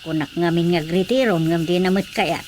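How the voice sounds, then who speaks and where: A woman's voice: lowish voice, moderate pace, a little mellow, close to an everyday voice. A woman playing a worried elderly mother in a radio drama, with faint noise behind her. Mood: worried, weary.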